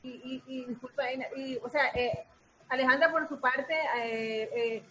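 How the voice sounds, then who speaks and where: Female theatre-maker guest, slightly high voice, casual and repetitive, light background noise. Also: steady tone, a little slow, sharp tone, low-quality recording. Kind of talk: casual chat.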